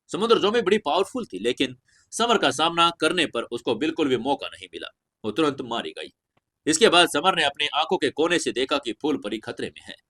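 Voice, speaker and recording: Animated, higher-pitched male story narrator. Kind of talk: dramatic scripted fantasy narration.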